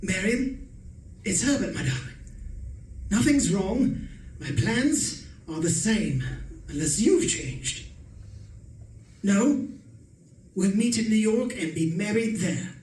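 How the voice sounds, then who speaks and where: A man's voice: high voice, very animated, takes it slowly, long pauses, darkish tone, full character voice. A man acting a henpecked, secretly murderous husband in a radio suspense play, hesitant and deferential. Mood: secretive, tender. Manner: hesitant, deferential, stammering under questioning. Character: henpecked, scheming English husband, nervously meek, secretly murderous. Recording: echoey room, distant mic, music behind.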